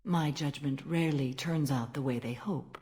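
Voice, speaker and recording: An actress playing a stern, ancient alien warrior-monk in a video game, speaking in a formal, measured and solemn manner. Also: female voice, deep voice, warm tone, close to an everyday voice. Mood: stern, composed. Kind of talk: dramatic video game dialogue.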